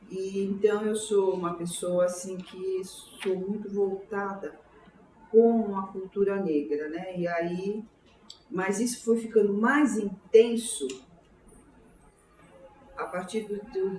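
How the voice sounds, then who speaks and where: A woman in her sixties with a São Paulo Brazilian accent, recalling her life in an interview in a halting, reflective way, often repeating words and checking for agreement. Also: mid-range voice, warm tone. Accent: São Paulo Brazilian Portuguese. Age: 60s. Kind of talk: oral history interview, autobiographical recollection. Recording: roomy, distant mic, faint background noise.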